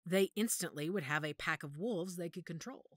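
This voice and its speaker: Low-voiced commanding werewolf matriarch played by a woman. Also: normal speed, dry recording, studio quality, lightly acted. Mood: grim, reasoning. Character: commanding werewolf pack matriarch, a calculating mother. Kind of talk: audio drama acting.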